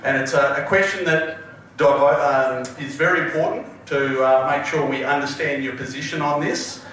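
A man hosting a live panel, jovial and loud, often restarting his sentences. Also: a little high, steady tone, moderate pace. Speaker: panel host. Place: large event hall with audience. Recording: echoey hall, distant mic, crowd noise.

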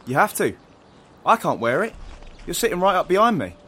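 An actor playing a confident, determined young freedom fighter in an audio drama, matter-of-fact and a little cocky. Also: male voice, high-pitched, big pitch swings, brisk, bright, forceful tone, slight background noise, exaggerated voice. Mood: firm, urgent.

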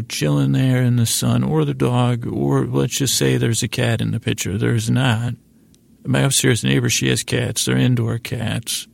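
Very creaky, lulling American man. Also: mid-range voice, darkish tone. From United States. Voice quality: very creaky, gravelly. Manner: meandering, halting, with stammered restarts; lulling, soothing delivery. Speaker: podcast host. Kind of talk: rambling bedtime-story podcast intro.

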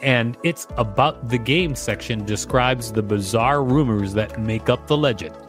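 A man's voice: mid-range voice, a little slow. Man narrating over prominent music. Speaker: podcast co-host reading an encyclopedia article. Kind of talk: scripted narration with brief casual asides. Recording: music behind the voice. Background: instrumental music.

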